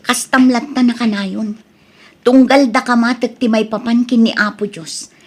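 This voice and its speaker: An actress plays a devout Ilocano wife in a radio drama, concerned and chiding. Her voice sits a little high, with a husky, breathy grain as she reasons with her husband. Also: fairly even intonation, moderate pace, natural voice.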